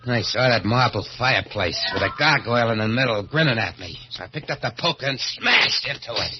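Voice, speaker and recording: A man's voice: medium pitch, lively intonation, a little fast, natural voice. Man acting a rattled, swooping old-radio American gangster. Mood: tense recounting. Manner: streetwise slang, stammering, frantic when cornered. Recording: muffled, noisy old radio recording.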